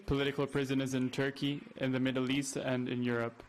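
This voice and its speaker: Male activist speaker, earnest and halting, little pitch movement. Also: flat delivery. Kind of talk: conference speech.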